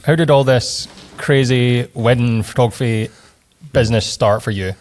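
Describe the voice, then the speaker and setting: A man's voice: mid-range voice, normal speed, a little mellow. A man co-hosting a podcast, chatting casually and putting questions to a guest. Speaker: podcast co-host. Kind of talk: casual chat.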